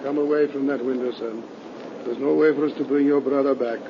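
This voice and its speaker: An actor playing a grave older country doctor in 1930s radio horror style. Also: male voice, medium pitch, fairly even intonation, brisk, natural voice. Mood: ominous, grave. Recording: crackly, muffled 1930s radio recording.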